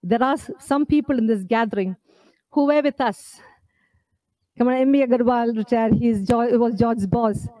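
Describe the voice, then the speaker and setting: A woman's voice: on the high side, moderate pace, mellow, no room sound, poor audio. A woman in her forties from India gives an earnest, emphatic speech at a podium microphone on an indoor stage, asking the audience rhetorical questions. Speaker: author at her book launch.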